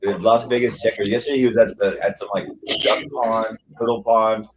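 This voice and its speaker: A man's voice: lowish voice, flat delivery, brisk, background noise. A man hosting a livestream in casual chat, rambling along with lots of "like" fillers. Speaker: livestream host.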